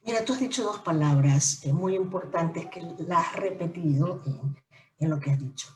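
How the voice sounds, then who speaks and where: A woman's voice: fairly low pitch, moderate pace, slight background noise. A woman interviewing her guest and asking her questions in an encouraging way. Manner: inquisitive, encouraging. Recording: echoey room.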